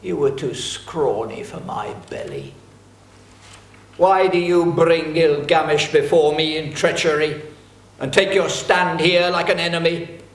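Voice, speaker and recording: A man's voice: on the high side, lively intonation, a little slow. A man playing a ferocious, scornful forest monster in a dramatic epic reading, taunting in declamatory style. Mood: menacing. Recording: roomy, echoing recording.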